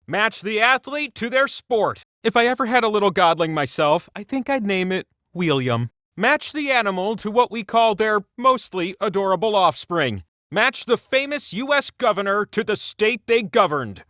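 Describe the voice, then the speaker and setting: An actor playing a giant, boastful, all-knowing wheel that hosts a video game quiz with theatrical grandeur and wry asides. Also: male voice, high voice, bright, forceful tone, no room sound, clean studio sound, exaggerated voice. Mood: wry musing. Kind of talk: theatrical game-show hosting.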